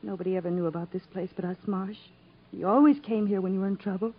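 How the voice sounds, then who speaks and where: Casting: actress for devoted, earnest female sweetheart, 1950s radio drama; breathy husky voice, muffled vintage sound. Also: mid-range voice, fairly even intonation, fast talker, natural voice. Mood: anxious, tender. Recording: dull, muffled old radio recording.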